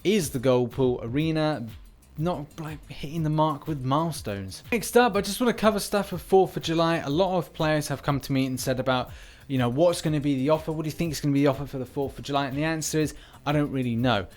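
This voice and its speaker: A man's voice: on the high side, fast talker, a little mellow. A man hosting casual gaming news commentary in a conversational, slightly rambling manner. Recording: voice-over with music behind.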